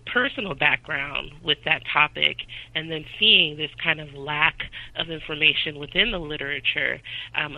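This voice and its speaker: A woman's voice: deep voice, bright, forceful tone, no room sound, dull audio. A woman from the Midwest of the United States, a historian being interviewed about her book, speaking thoughtfully with frequent ums and uhs. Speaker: author and historian guest. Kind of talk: interview answers.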